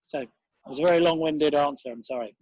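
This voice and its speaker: A man's voice: fairly high pitch, fast, a little mellow, dry recording, poor audio. A man giving a casual interview as a guest, reminiscing in a rambling, enthusiastic and digressive way.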